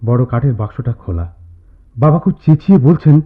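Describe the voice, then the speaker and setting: Actor as quiet studious young man, tinny radio recording. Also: mid-range voice, lively intonation, brisk, close to an everyday voice. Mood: wistful. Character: quiet, studious young man recalling his student hostel days. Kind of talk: Bengali radio drama acting. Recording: tinny lo-fi radio play, music behind.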